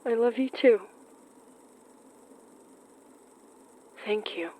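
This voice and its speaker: A woman acting a guilt-ridden, self-doubting but tender young woman in a naturalistic audio drama, with a halting, emotional delivery. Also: fairly high pitch, mellow, close to an everyday voice, rough recording. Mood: tender, wry.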